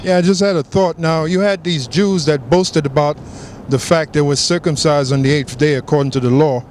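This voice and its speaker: A man preaching in an outdoor discussion, emphatic and quick to affirm with agreement. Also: on the high side, steady tone, a little mellow, a little hiss or hum. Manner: emphatic, keeps affirming with "that's right". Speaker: street preacher.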